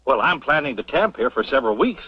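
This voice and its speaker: A man's voice: medium pitch, very animated, quick pace, slightly rough, dry recording. A man playing a courteous, enthusiastic professor in an old western drama, explaining things in a scholarly, theatrical way. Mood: cordial, pleased. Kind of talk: mid-century western drama acting. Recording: muffled vintage soundtrack, loud music.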